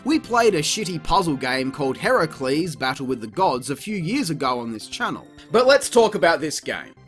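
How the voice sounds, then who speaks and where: A reviewer delivers a scripted comic rant about games, full of swearing and sarcasm. The pitch swings widely with exasperation, and music plays behind the voice. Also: fairly bright tone. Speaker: video game reviewer, channel host. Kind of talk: scripted comedic review narration.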